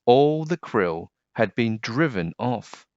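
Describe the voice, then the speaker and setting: A man narrating a children's picture book in an expressive, scripted read-aloud style. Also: medium pitch, slow pace, dry recording, dull audio.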